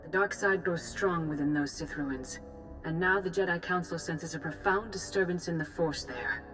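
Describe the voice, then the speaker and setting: A woman's voice: fairly low pitch, moderate pace, a bit bright, soft background music, lightly acted, poor audio. A woman playing a grave Jedi grand master in a video game, formal, composed and authoritative. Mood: grave authority.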